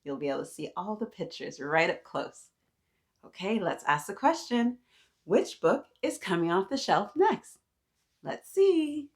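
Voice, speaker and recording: A woman's voice: medium pitch, very animated, measured pace. A woman hosting a picture book read-aloud for young children, encouraging and sing-song, often putting questions to her listeners.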